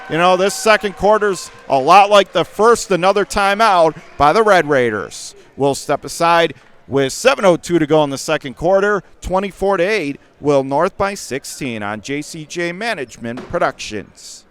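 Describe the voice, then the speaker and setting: A man doing live radio play-by-play of a basketball game in clipped running phrases, with excitable calls. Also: high voice, moderate pace, smooth. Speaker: play-by-play commentator.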